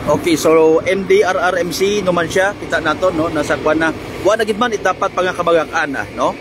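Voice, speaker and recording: Filipino man, field reporter, slightly high voice, halting live commentary, outdoor roadside, noticeable vehicle noise. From Philippines. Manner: improvised, halting, addresses viewers directly. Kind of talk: live on-scene news commentary. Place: outdoors on a road at a fire scene.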